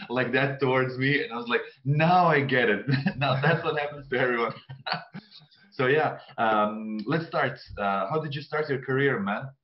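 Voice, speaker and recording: A young man with a Croatian accent hosting a casual podcast interview over a video call, chatty with many ums and uhs. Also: mid-range voice. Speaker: podcast host. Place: room at home. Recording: echoey room, dull lo-fi sound.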